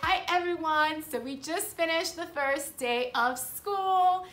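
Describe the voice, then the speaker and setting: A young woman teacher chatting casually to the camera in her classroom, upbeat and excitable. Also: very high-pitched, takes it slowly, music in the background. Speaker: fourth grade teacher and vlogger. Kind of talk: casual vlog chat. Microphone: camera propped nearby.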